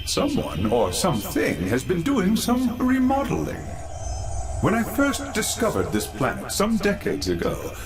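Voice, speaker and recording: A man's voice: sits high, full character voice. An actor playing an elderly, eccentric, fatherly scientist leader in a video game, speaking formally and theatrically with precise diction. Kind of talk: video game cutscene acting. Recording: music under the voice.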